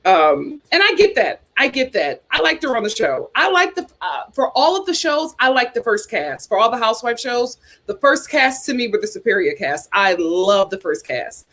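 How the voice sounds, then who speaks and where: A woman's voice: fairly high pitch, sing-song, normal speed, hardly any pauses, a bit bright. A young woman hosting a casual livestream, chatting and reading viewer comments, repeating herself as she talks. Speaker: YouTube host.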